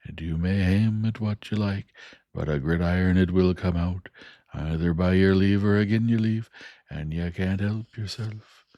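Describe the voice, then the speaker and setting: A man voicing a plain-spoken, kindly working-class blacksmith with speech, talking slowly and emphatically. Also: deep voice, fairly even intonation, warm tone, no echo, dull audio, natural voice. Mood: earnest. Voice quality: dialect grammar, slow and emphatic. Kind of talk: audiobook character voice.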